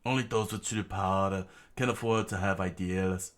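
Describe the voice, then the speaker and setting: A man's voice: deep voice, steady tone, normal speed, natural voice. A man voicing a cruel, cynical male villain in a told adventure story, with a menacing, contemptuous manner.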